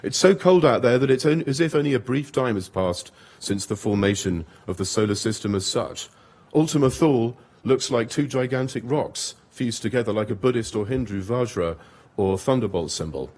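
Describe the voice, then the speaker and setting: Male lecturer, mid-pitched voice, reads long lists rhythmically. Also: lively intonation, normal speed, darkish tone. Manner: rhythmic reading of long lists, occasional stumbles. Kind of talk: academic lecture reading. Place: lecture hall.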